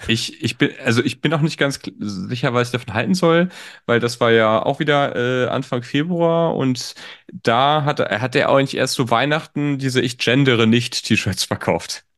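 A man's voice: medium pitch, normal speed, a bit bright, no room sound, studio quality. Joking, rambling man in his thirties. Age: 30s. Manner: rambling, joking, many fillers. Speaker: podcast host. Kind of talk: casual conversational interview.

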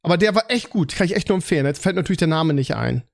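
Higher-voiced man, rambling podcast chat. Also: lively intonation, creaky. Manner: rambling, halting word searches, self-correcting. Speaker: podcast host. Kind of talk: casual chat.

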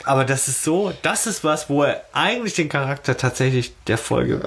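A man's voice: mid-range voice, expressive, normal speed, creaky, a bit bright, slightly rough. A man hosting a podcast in casual chat, thinking aloud as he goes in a discursive way. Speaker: podcast host.

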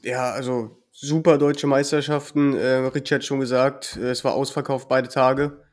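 A man with a cold, a guest on a podcast, answering calmly with hesitant filler pauses. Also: mid-range voice. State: has a cold. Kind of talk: podcast interview.

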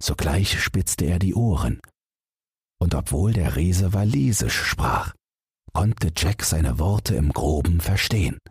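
Deep, husky male storyteller. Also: lively intonation, takes it slowly, no room sound, studio quality. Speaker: audiobook narrator. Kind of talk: bedtime fairy-tale narration, scripted.

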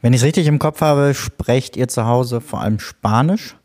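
A man hosting a parish podcast, talking in a chatty, relaxed way with friendly asides. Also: medium pitch, normal speed. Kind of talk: casual podcast interview.

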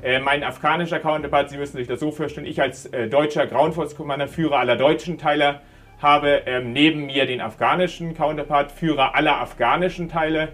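A man's voice: fairly high pitch, steady tone, brisk, fairly bright tone. A man, a former military commander, recounting a firefight in a hesitant, matter-of-fact way with many fillers. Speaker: soldier, ground force commander. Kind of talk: recorded interview account.

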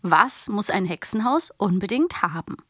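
Casting: female podcast co-host, medium pitch, casual and teasing, dry studio-like sound. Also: expressive, normal speed, a bit bright, no echo. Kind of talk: casual chat.

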